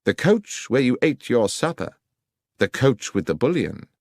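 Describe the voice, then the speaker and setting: A man voicing a composed, brilliant Victorian detective in an audiobook, precise, deliberate and assertive as he questions. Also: medium pitch, big pitch swings, a little mellow, no echo, clean studio sound, voice pushed up. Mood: pointed, incisive.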